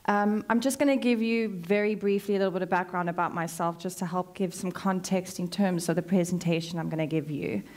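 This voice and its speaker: A woman's voice: lowish voice, fairly even intonation, a little fast, hardly any pauses, darkish tone. A woman in her thirties with a South African accent, giving a conference talk in a chatty, conversational way full of ums. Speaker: conference speaker, consultant. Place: large hotel conference hall, indoors.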